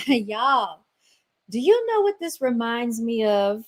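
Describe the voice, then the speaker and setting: A woman's voice: on the high side, very animated, takes it slowly, a little hiss or hum. A woman hosting a podcast, talking casually and sarcastically over clips she plays, laughing often and speaking straight to her listeners. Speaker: podcast host. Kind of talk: casual commentary reacting to clips.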